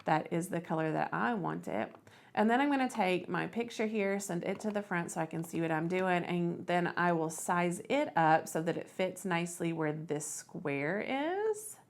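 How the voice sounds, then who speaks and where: A woman hosting a casual step-by-step craft software tutorial, thinking aloud as she works. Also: lowish voice, animated, normal speed, creaky. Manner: thinks aloud while working.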